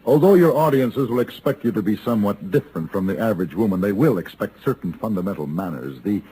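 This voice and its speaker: An American man playing a cool, refined, sardonic gentleman gunfighter in a radio western, speaking formally, precisely and dryly. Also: lowish voice, expressive, fast talker, lightly acted. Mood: dry, composed. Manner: formal, dry, precise, unruffled. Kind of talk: old-time radio western acting. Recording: noisy old radio drama recording.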